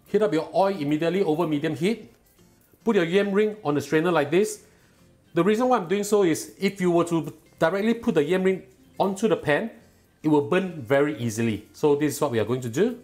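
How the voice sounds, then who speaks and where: A middle-aged man hosting a cooking show, explaining each step calmly and patiently in a home kitchen. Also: on the high side, brisk, soft background music. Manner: calm, patient and instructive, enthusiastic when tasting.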